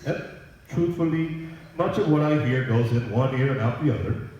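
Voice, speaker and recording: A man reading a Christmas story aloud as Santa Claus, in a dramatic, deliberate storybook manner. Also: mid-range voice, a little mellow, muffled recording. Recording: echoey room, distant microphone.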